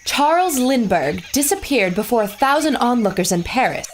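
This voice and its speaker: Woman playing a plucky, higher-pitched lady reporter. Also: expressive, normal speed, a little hiss or hum, natural voice. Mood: businesslike. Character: intrepid, sharp-tongued woman newspaper reporter. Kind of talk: pulp adventure audio drama acting.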